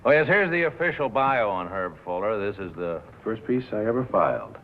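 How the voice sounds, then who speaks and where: A man's voice: lowish voice, big pitch swings, a little fast. A sardonic american publicity man in a 50s movie, voice jumps around a lot, muffled narrow old audio. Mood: wry, knowing. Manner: wry, sardonic. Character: cynical, worldly male press agent. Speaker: actor in a feature film. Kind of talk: 1950s film drama dialogue. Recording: muffled vintage film soundtrack, faint noise.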